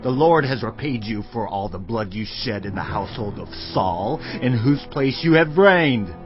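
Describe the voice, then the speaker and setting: An actor playing a furious, vengeful accuser hurling drawn-out curses in a dramatized audio Bible. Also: male voice, mid-range voice, animated, natural voice. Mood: furious, accusing. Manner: shouted, drawn-out denunciations. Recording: dull audio over music bed.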